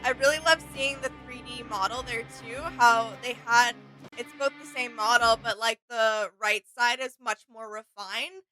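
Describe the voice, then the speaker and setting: Bright, high-voiced agreeable woman. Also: fairly even intonation, moderate pace, faint music behind, rough recording. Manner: agreeable, appreciative. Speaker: co-host of a fan reaction show. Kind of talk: casual reaction chat.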